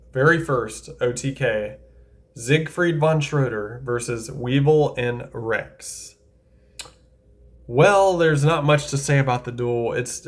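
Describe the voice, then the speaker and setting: Chatty man ranking anime duels. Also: medium pitch. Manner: chatty, enthusiastic, thinks aloud with self-corrections. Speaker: anime tier-list creator. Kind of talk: casual tier-list commentary.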